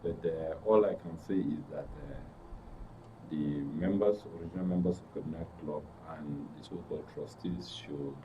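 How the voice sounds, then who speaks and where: A man with a Nigerian accent giving measured, halting, cautious remarks as a talk show guest. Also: very deep voice, steady tone. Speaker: studio guest. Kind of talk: interview commentary. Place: radio and TV studio. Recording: dull distant mic, background noise.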